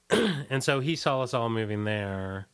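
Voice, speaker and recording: A middle-aged American man with a mid-pitched voice talks as a guest in a casual interview. He rambles and hesitates, pausing mid-thought and leaning on "like" as he reminisces. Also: lively intonation, smooth. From Washington, D.C., USA. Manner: halting, hesitant, rambling, frequent "like".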